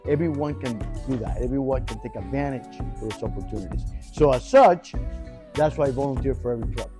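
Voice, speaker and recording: A man's voice: medium pitch, brisk, music in the background. A middle-aged man from Cuba, a teacher answering interview questions proudly and emphatically, now and then restarting a phrase.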